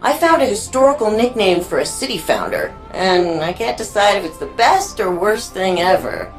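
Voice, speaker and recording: A woman playing a chatty, curious and warm archivist in a sci-fi video game, with an informal, playful, flirty way of talking. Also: mid-range voice, big pitch swings, moderate pace, faint music behind, poor audio. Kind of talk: naturalistic video game dialogue.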